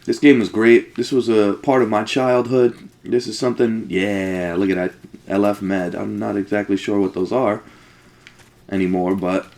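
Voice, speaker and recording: A man's voice: lowish voice, steady tone, normal speed, darkish tone. A young man giving casual, sweary let's play commentary, rambling and thinking aloud as he plays.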